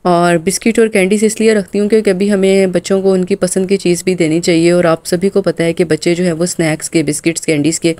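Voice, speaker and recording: A hoarse woman from Pakistan in her thirties, talking through her morning as a mom vlogger. Her voice sits low and mellow, and she speaks in a loose, chatty way padded with filler phrases. The recording is close and dry. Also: little pitch movement, quick pace, hardly any pauses, dry recording. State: hoarse from a sore throat. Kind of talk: casual voice-over narration of a daily vlog.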